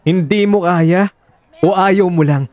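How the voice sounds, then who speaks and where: A man's voice: high voice, takes it slowly, a little mellow, no echo, pitched above the performer's own voice. A man playing a heartbroken, insistent young lover in an emotional radio drama, pleading for the truth. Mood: hurt. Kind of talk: Tagalog radio drama acting.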